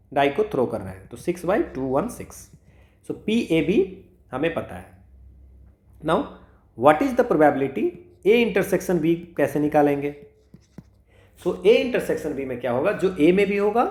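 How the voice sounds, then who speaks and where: A man talks a class through math problems, posing a question and then answering it himself. His voice sits a little high for a man. The room around him is echoey. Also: a little mellow. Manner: mixes Hindi and English, asks and answers his own questions. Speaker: exam prep math teacher. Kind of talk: solving exam problems step by step.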